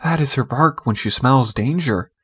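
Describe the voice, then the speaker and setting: A man's voice: mid-range voice, moderate pace, fairly bright tone, breathy edge. A man voicing a wise, serious little girl in a storybook, with an earnest manner. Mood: grave, certain.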